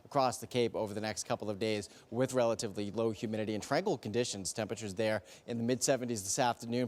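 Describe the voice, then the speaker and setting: A man presents a TV weather forecast at a brisk clip. His pitch sits in the middle range as he runs through the forecast in broadcast style. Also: a little mellow. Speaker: TV meteorologist.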